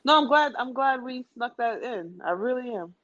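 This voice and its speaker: A woman's voice: on the high side, expressive, moderate pace, a bit bright. A woman in a casual, conversational travel chat with a friend, often repeating phrases for emphasis. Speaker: podcast speaker. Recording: dull lo-fi sound.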